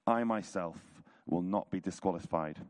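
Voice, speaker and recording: Hoarse man, croaky, reading scripture aloud, measured delivery, long hall reverb. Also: deep voice, a little mellow. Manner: casual intro, then measured rhetorical reading. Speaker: church leader reading scripture. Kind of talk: scripture reading at a church service.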